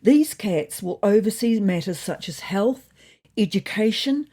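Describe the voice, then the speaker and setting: A woman's voice: mid-range voice, expressive, a little slow, dark tone, husky, voice pushed up. A woman voicing a bossy, self-important female cat who makes pompous, waspish speeches in a comedy story podcast. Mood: pompous, grave.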